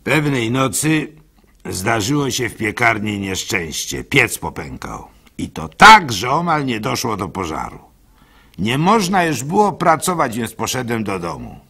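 Gullible, lovestruck baker in radio drama: gravelly, very bright and forceful, hesitant confessional storytelling. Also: male voice, medium pitch, sing-song, unhurried, full character voice. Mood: anxious recollection.

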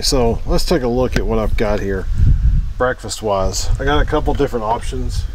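A man, talking casually to camera at a wooded campsite, easygoing and rambling with many pauses and ums. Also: mid-range voice. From Middle Tennessee. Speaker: outdoors vlogger. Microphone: camera mic at close range. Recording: outdoor recording with clear background noise.

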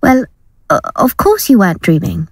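A woman's voice: mid-range voice, wildly swooping pitch, moderate pace, slightly rough, no echo, studio quality. A woman playing an anxious, placating abused girlfriend in an audio drama, affectionate but fearful, with a hesitant, soothing and pleading manner.

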